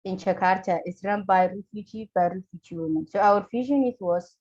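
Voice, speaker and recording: A woman from Somalia giving an earnest talk in a webinar, speaking halting with pauses, over a video call. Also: mid-range voice, a little mellow, muffled recording. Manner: earnest, halting non-native English with pauses. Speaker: webinar speaker, refugee community leader.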